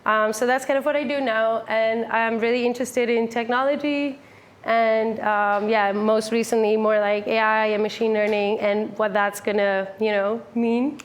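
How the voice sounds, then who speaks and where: A woman's voice: on the high side, fairly even intonation, moderate pace. A woman in her twenties from the Netherlands, giving a casual, upbeat meetup talk to a full room, with lots of "like" and "um". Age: 20s. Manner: upbeat, chatty, many fillers like "like" and "um". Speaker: meetup speaker. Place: full room with a live audience.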